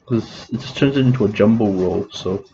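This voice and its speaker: A man talks in a loose, rambling vlog, his voice a bit scratchy and mellow. He stops and restarts often, full of fillers. The sound is slightly dull with a faint hiss behind it. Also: lowish voice. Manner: halting, many restarts and fillers. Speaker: vlogger.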